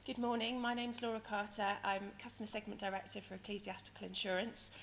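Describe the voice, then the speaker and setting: A woman with a croaky, hoarse voice giving a conference presentation as a company director, explaining things in a hesitant, wordy way. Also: medium pitch, flat delivery, fast, a bit bright. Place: conference venue in London, speaking to an audience. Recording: noisy conference hall ambience.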